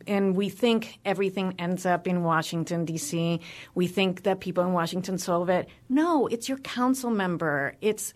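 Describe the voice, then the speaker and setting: A woman with a Cuban American accent, speaking passionately as a guest in a radio interview. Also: mid-range voice. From United States. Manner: passionate, emphatic. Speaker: guest, religious freedom advocate.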